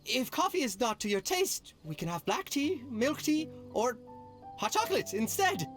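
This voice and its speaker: A man playing a flowery, chivalrous admirer in an anime game, effusive with courtly flattery. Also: extremely high pitch, moderate pace. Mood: nervous, smitten. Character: flowery, fawning, chivalrous male admirer of a lady. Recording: music behind the dialogue.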